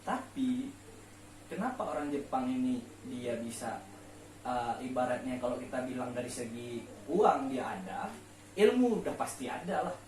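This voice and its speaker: A man's voice: medium pitch, sing-song, moderate pace, a little mellow. A man chatting casually and explaining, asking rhetorical questions and repeating key words for emphasis. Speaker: main speaker on a late-night discussion show. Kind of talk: casual explanatory chat on faith and life. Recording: roomy echo, distant mic, faint noise.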